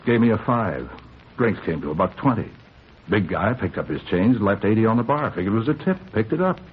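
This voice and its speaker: An actor playing a tough, self-justifying American bar owner shaken by a robbery, in clipped, streetwise 1950s radio police drama style. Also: male voice, on the low side, expressive, fairly quick, natural voice. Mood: matter-of-fact recounting. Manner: clipped sentences, boastful, defensive, streetwise slang. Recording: muffled, hissy 1950s radio recording.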